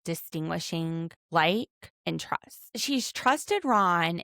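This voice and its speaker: Gravelly, low-voiced Southern woman. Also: slow, no room sound, clean studio sound. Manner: chatty, animated, playful asides. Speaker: podcast co-host. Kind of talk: casual recap chat.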